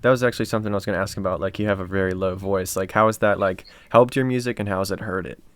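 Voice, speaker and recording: A man's voice: low-pitched, fairly even intonation, racing, no echo. A man hosting a casual interview and asking questions in an upbeat way. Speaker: host.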